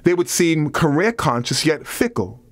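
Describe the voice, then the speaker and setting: A man's voice: on the high side, big pitch swings, moderate pace, dry recording. Man narrating a nonfiction audiobook, close and dry.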